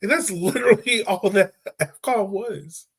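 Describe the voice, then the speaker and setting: A man hosting a podcast in relaxed, chatty sports talk, often laughing and dropping casual fillers. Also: high-pitched, measured pace, breathy edge. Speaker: podcast host.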